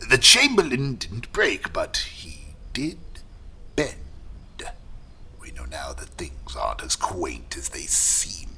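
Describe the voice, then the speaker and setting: An actor playing a cold, scheming, commanding conspirator with a raspy, gravelly voice and an imperious, deliberate manner. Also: male voice, low voice, pushed and bright, heavily acted.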